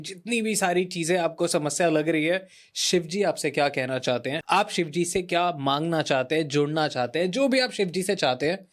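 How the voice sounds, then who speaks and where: A man with an Indian accent hosting a podcast, talking to listeners in an emphatic, repetitive way. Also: a little high. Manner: emphatic, repetitive phrasing, mixing Hindi with English phrases. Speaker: podcast host. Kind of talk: energetic talk to camera, promoting and introducing episode.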